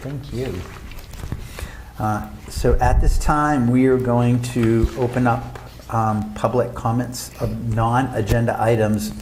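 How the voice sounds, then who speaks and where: A man's voice: medium pitch, fairly even intonation, slow, a little mellow, slight background noise, low-quality recording. A man chairing a town council meeting, speaking in an earnest, informal way and often repeating himself. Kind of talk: public meeting remarks.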